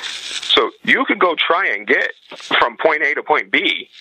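A man's voice: on the high side, sing-song, measured pace, bright, forceful tone, breathy edge, dry recording, low-quality recording. A man talking casually as an interview guest, emphatic and opinionated in his delivery. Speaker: podcast guest, activist.

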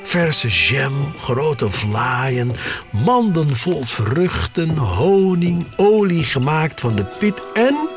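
A man narrating an audio drama in the first person, in a measured, expressive way with pauses between short phrases. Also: a little high, soft background music.

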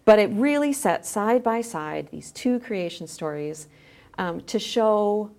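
A woman's voice: mid-range voice, expressive, slow pace, gravelly, a little mellow. A woman guest on a podcast, explaining her work in a conversational way like a teacher.

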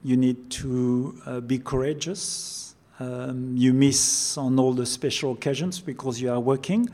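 A man's voice: medium pitch, fairly even intonation, measured pace, mellow. An older man with a French accent, a chef answering interview questions, often repeating words as he searches for phrasing. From France. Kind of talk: interview conversation.

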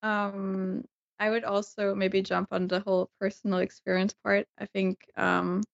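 A woman from Denmark speaking as a guest on a panel discussion, in a relaxed, thoughtful way. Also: medium pitch, unhurried, creaky. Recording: dull lo-fi audio.

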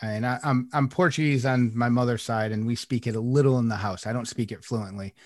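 A man co-hosting a coaching podcast, who talks in a relaxed, explanatory way and often restarts his phrases. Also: mid-range voice, fairly quick, no room sound, studio quality. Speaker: life coach, podcast co-host. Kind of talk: casual conversational podcast chat.

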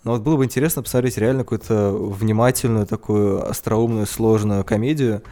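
A man's voice: fairly low pitch, fairly even intonation, a little fast, warm tone. A man co-hosting a podcast, musing aloud in casual chat. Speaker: podcast co-host.

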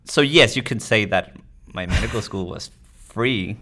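Low-voiced man explaining in an interview. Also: unhurried, sharp tone. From Tijuana, Mexico. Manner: explanatory, often tagging points with "you know". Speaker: interview guest, physician. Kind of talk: conversational interview answers.

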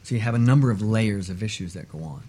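A man speaking as an expert guest on a radio talk show, explaining in a measured, emphatic way and repeating points for stress. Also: lowish voice, warm tone, breathy edge.

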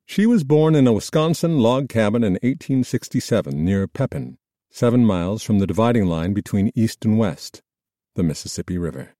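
A man reading scripted nonfiction narration in a measured, formal storytelling manner. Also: fairly low pitch, lively intonation, mellow, no echo, studio quality. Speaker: audiobook narrator.

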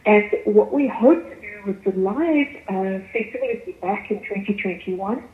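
A woman with a South African accent giving articulate, measured answers as a guest in a radio interview. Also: medium pitch, echoey room, narrow, tinny audio. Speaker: arts festival chief executive, interview guest.

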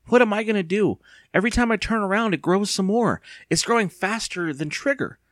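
A man's voice: mid-range voice, big pitch swings, brisk, a bit bright, no echo, clean studio sound. A man voicing an anxious, impatient young boy in an audiobook, with a whiny, sarcastic, exclaiming manner. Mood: wailing, despairing. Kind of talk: audiobook character voice.

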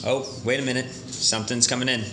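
A man playing a matter-of-fact male TV news co-anchor, reading scripted lines in a measured, formal way. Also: mid-range voice, flat delivery, reverberant, background noise, close to an everyday voice. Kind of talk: scripted news read at a table read.

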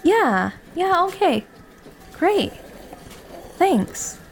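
Woman playing a slow-speaking, flustered, smitten young woman. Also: very high-pitched, big pitch swings, a little hiss or hum, pitched above the performer's own voice, heavily acted. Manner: hesitant, nervous, earnest confession. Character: flustered smitten young woman, apologetic, self-deprecating, working late. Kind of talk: audio drama acting, naturalistic dialogue.

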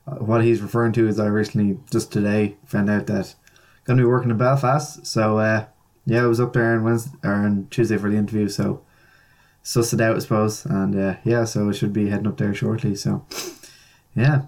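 An Irish man co-hosting a casual podcast, laid-back and quick to laugh, with plenty of fillers. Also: lowish voice, little pitch movement, a little mellow. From Ireland. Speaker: podcast co-host.

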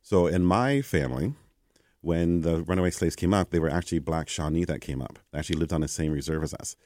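Low-voiced man telling stories animatedly. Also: quick pace, no room sound, clean studio sound. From Canada. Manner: animated, acts out quoted exchanges. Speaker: guest. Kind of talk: conversational interview storytelling.

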